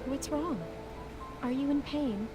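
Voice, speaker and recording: A woman voicing a calm, courteous second-in-command of a spaceship in a video game, speaking in a measured way. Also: high-pitched, over music. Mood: gentle, reassuring. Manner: measured, polite, composed.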